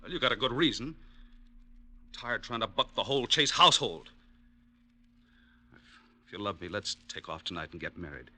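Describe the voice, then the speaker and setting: A tough hoodlum gambler in a vintage radio play. His voice is very bright and hard-edged, and his pitch jumps around a lot while he pushes back. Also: animated, normal speed, long pauses, full character voice. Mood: defiant. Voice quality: tough, defiant. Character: defiant hoodlum gambler man. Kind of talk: 1950s radio drama acting.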